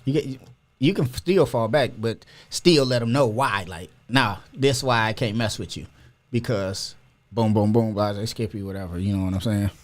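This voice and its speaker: A man's voice: on the low side, moderate pace. A man hosting a casual podcast, talking loosely and opinionated with lots of slang. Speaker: podcast host.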